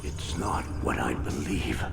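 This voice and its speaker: An actor playing a cold, cruel medieval doctor in a game cutscene, proud, eloquent and condescending, with music behind him. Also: male voice, extremely low pitch, lively intonation, a little slow, gravelly, full character voice. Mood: scornful, self-assured. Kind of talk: dramatic video game cutscene acting. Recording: music under the voice.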